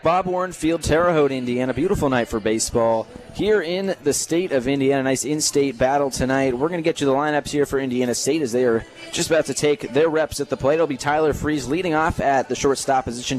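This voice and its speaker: A man's voice: medium pitch, a little fast, hardly any pauses, faint music behind. An American man doing live radio play-by-play in short, clipped announcer phrases, as a sportscaster. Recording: noisy live stadium radio broadcast. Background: stadium ambience.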